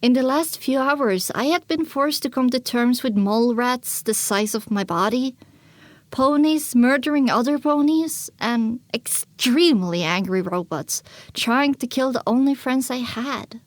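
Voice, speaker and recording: A woman plays a young filly pony narrating her own journey in a dramatic audiobook. The voice is fairly high and recorded very dry, with a reflective, scripted delivery. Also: a little slow, no room sound, lightly acted. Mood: reflective, somber. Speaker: first-person narrator.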